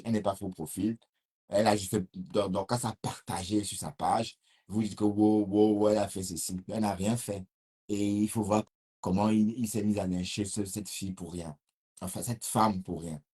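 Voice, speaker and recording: A man with a Cameroonian French accent chats casually on a live stream, replying to viewer comments and restarting his sentences as he goes. His voice sits on the low side. It has a husky, slightly rough edge. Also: darkish tone. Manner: reads viewer comments and answers them, rambling with restarts.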